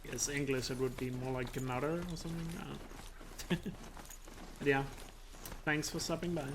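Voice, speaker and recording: A man's voice: mid-range voice, moderate pace, background noise. A man with a Danish accent giving casual live-stream commentary as a speedrunner; chatty, he laughs often and swears at his mistakes. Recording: stream mic with game sounds behind.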